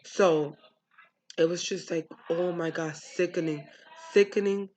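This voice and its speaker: A woman's voice: lowish voice, steady tone, a little slow, a little mellow, slight background noise. A young adult woman from the United States talking bluntly and frankly to camera about her upbringing, swearing freely. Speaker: vlogger. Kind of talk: candid personal monologue.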